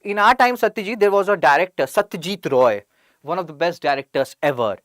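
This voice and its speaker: A woman's voice: lowish voice, expressive, fairly quick, no echo, studio quality. A woman with an Indian English accent giving a chatty online exam-prep lecture, joking with sarcastic asides and reading out her students' names. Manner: reads out student names from chat. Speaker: teacher.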